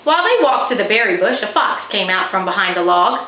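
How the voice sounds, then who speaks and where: A woman reads a children's story with expressive, varied intonation. She sounds like an author sharing her own book. The recording is roomy and a little dull, with faint noise. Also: mid-range voice, fairly quick.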